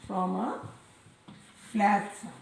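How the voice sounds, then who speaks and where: A woman teaching a science lesson to children, speaking loudly and deliberately and repeating key terms. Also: mid-range voice, vocal fry. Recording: echoey room, voice a bit far from mic, faint noise.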